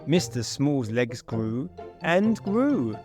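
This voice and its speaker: A man reading a children's story aloud as an expressive storyteller. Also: mid-range voice, slow pace, soft background music.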